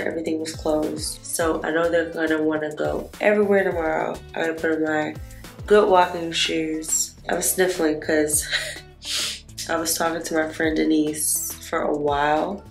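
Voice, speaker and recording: A woman in her thirties chatting casually to camera in a hotel room, teasing and telling stories with quoted asides. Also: low voice. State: sniffly. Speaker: vlogger and mother. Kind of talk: casual vlog chat to camera.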